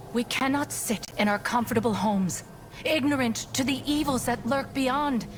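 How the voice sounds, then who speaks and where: A woman playing a stern, vigilant warrior sister in a video game, speaking gravely and earnestly as someone troubled and suspicious. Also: a little high. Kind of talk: dramatic video game voice acting. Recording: music underneath the voice. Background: game music.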